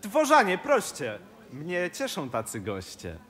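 A man's voice: high voice, huge pitch swings, takes it slowly, fairly bright tone, exaggerated voice. A man playing an imperious but jovial king in a rhymed fairy-tale audio play. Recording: long reverberant hall sound.

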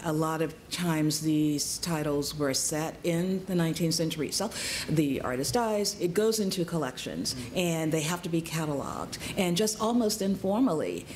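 A woman speaking as a curator on a panel discussion in a large conference room, measured and deliberate. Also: low voice, darkish tone, a little hiss or hum.

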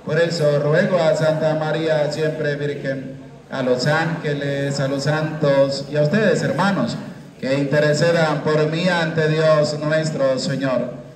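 A man in his thirties, a priest leading Mass into a handheld microphone in a small chapel, with a slow, measured liturgical cadence. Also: a little high, steady tone. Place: small chapel with curtained walls. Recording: echoey chapel room.

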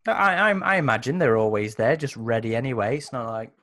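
A man with a middle-pitched voice joins in a tabletop roleplaying game. His talk is casual, conversational banter between players. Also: brisk, a bit bright. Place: indoors, on a group video call.

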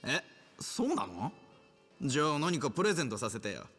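Swooping, flirtatious bar customer, echoing. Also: male voice, on the high side, big pitch swings, normal speed, a bit bright, breathy edge, faint music behind, heavily acted. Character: smooth-talking, flirtatious male bar customer. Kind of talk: Japanese video game drama acting.

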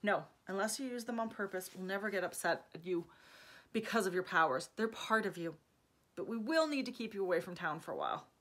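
A stern young guardian read by a woman, firm and commanding. The voice stays bright and plain, with no put-on depth. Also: medium pitch, expressive, a little fast. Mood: gentle. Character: stern protective young man, older brother type.

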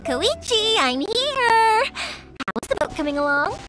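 A woman's voice: extremely high pitch, takes it slowly, full character voice. A woman playing a chatty, exuberant young fireworks maker in a video game. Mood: friendly, earnest. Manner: exuberant, rambling, animated. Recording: music behind the voice.

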